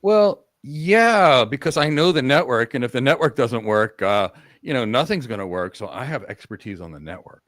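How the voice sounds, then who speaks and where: A man's voice: mid-range voice, lively intonation, moderate pace, hardly any pauses, fairly bright tone, no echo. Man talking with a dry close sound. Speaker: host. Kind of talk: live-stream career talk with mock interview role-play.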